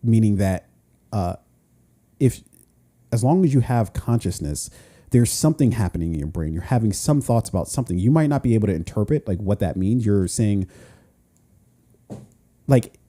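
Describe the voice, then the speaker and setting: Male podcast co-host, low and very dark voice, rambling and profane in casual chat. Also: lively intonation, moderate pace, pauses often. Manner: rambling, profane, restarts often.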